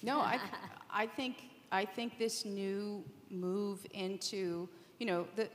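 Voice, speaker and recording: A woman on a panel, speaking assuredly in deliberate, emphatic phrases. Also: mid-range voice, fairly even intonation. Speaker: panelist from the podcast industry. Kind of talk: unscripted pitch-like panel talk. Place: indoor conference panel hall. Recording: reverberant hall.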